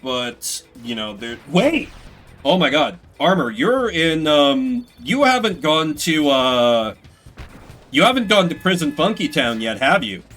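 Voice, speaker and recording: A man's voice: fairly high pitch, a little slow, soft background music. A man streaming games, chatting casually with viewers in a rambling, story-telling way. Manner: rambling, animated retelling with acted-out quotes. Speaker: game streamer. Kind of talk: casual livestream chat with viewers.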